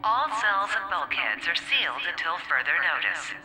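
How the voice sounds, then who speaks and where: A woman's voice: on the low side, big pitch swings, normal speed, pushed and bright, full character voice, poor audio. A woman voicing an impersonal automated prison announcer in a video game, formal, through a PA speaker. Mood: official warning.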